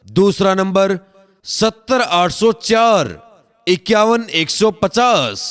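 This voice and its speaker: High-pitched man announcing in long reverb. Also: a bit bright. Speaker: advertisement announcer. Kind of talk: scripted promotional announcement.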